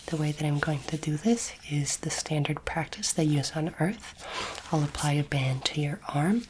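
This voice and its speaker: Whispering woman, soft ASMR doctor roleplay, noisy recording. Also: low-pitched. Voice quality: breathy, mostly unvoiced whisper. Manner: gentle, reassuring, measured, formally polite. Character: courteous, curious alien space doctor examining a human. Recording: noisy close recording, clicking sounds.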